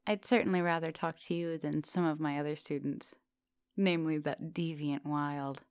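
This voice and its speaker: A woman acting as an ancient female vampire professor in an intimate ASMR roleplay. Her voice is very low with a gravelly fry, recorded close and dry. She is composed and formal in her wording, yet teasing and gently commanding, slipping at times toward a whisper. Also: fairly even intonation, moderate pace, no echo. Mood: mildly exasperated. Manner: teasing, formal wording, soft dominant, often dropping into whispers.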